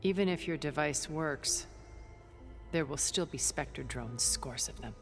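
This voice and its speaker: Poised older strategist lady for a game, low and measured, music behind. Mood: composed, warning. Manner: measured, formal, composed. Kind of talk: video game cutscene acting.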